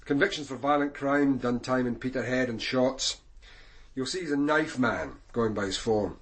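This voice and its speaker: A man playing a senior police detective in a radio crime drama, Scottish, with a measured, dry, authoritative manner. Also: medium pitch, natural voice. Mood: measured, grim.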